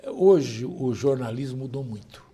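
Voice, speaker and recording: An older Brazilian man, a veteran journalist, talking with a lively, conversational manner in a TV interview. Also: medium pitch, unhurried, slightly rough. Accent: Brazilian Portuguese.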